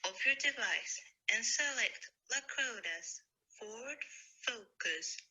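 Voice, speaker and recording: A woman's voice: fairly high pitch, expressive, slow pace, pushed and bright, breathy edge, exaggerated voice, rough recording. A woman playing a repetitive automated Bluetooth car assistant in a table read of a stage play, with a stilted announcement delivery. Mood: automated, insistent.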